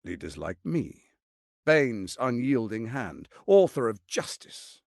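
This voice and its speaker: An actor playing a suave, scheming tyrant archduke in a video game, speaking in a pompous, silky, menacing and theatrical manner. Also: male voice, medium pitch, very animated, slow, darkish tone, no echo, studio quality. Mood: grand, commanding. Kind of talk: video game character acting.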